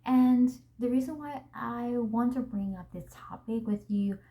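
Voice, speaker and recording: A young woman with a Vietnamese accent, whose first language is Vietnamese, hosting a vlog and talking to the camera in a gentle, earnest and reflective way. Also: a little high, measured pace, narrow, tinny audio. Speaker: vlog host.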